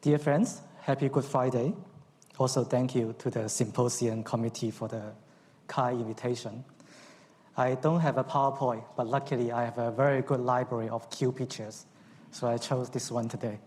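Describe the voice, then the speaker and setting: A man with a Cantonese accent giving a formal speech at a conference, measured, with frequent ums and uhs. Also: medium pitch, fairly even intonation, darkish tone. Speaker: conference speaker. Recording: long hall reverb.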